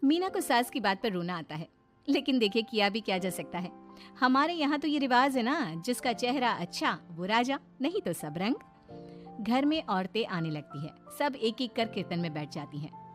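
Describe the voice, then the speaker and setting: Brief: female storyteller, highish voice, expressive scripted narration for a moral tale, light music underneath. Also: moderate pace.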